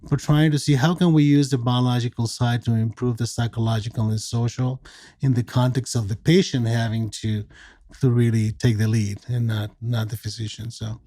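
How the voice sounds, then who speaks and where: Older man, sixties, from Mexico; unhurried explainer with a mellow voice in a dry room. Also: medium pitch, level tone, no room sound. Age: 60s. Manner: measured, explanatory, with many fillers. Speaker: psychiatrist guest. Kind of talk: conversational podcast interview.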